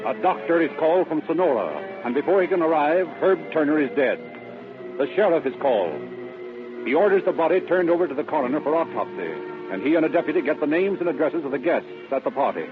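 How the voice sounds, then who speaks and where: An actor serving as the American narrator of an old-time radio crime drama, reading formally and dramatically. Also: male voice, on the high side, expressive, fast, music in the background, natural voice. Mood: grave, dramatic. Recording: tinny old radio recording.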